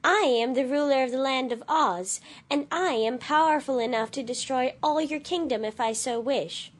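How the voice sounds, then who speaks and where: Woman playing a sweet, high-voiced girl queen. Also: normal speed. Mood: calm, firm. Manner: poised, courteous, firm. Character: gentle, dignified, self-assured girl ruler. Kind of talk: dramatized storybook acting.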